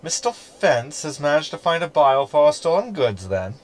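Flippant hologram played by a man, lively pitch. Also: moderate pace. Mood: mocking. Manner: wry, chatty, teasing. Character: sarcastic, flippant male ship computer hologram. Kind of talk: sci-fi comedy audio drama acting.

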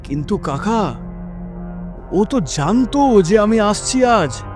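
A man's voice: high voice, big pitch swings, slow pace, music in the background, voice pushed up, full character voice. A man playing an anxious, bewildered young traveller who asks uneasy questions in a horror story.

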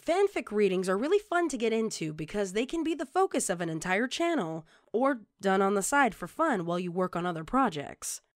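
Female tutorial presenter, animated melodic delivery, instructional scripted read, dry studio-like sound. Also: mid-range voice, brisk, hardly any pauses, no room sound.

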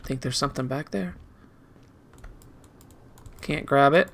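A man's voice: medium pitch, slow, gravelly, a bit bright, a little hiss or hum. A man giving casual video game commentary, rambling and muttering playfully to himself as he plays.

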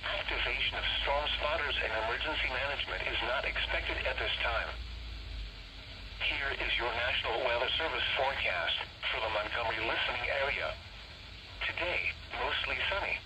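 A man reading a scripted weather bulletin in a formal, measured manner, heard through a small weather radio speaker. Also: mid-range voice. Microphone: camera near the radio speaker. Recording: noisy weather radio speaker, picked up by camera.

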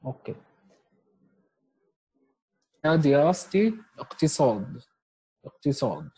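A man's voice: mid-range voice, big pitch swings, moderate pace, mellow, slightly rough, poor audio. A man in his twenties with a Syrian Arabic accent gives an online sign language lesson, explaining step by step and often checking in with "okay?". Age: 20s. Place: small room at home, indoors. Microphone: earphone headset mic on a video call.

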